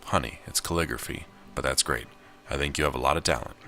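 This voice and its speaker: Visual novel mother: polite, indulgent, mildly chiding, voiced by a man with a very low voice. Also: quick pace, vocal fry, bright, forceful tone, faint music behind, full character voice. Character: polite, gently correcting mother of small child.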